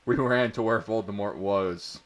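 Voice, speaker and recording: A man gives a comic reading of amateur fan fiction. His voice sits on the low side and his pace is slow. He is prone to giggling and tripping over the text. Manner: often breaking into giggles and stumbling over words. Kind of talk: comedic dramatic reading of bad fan fiction.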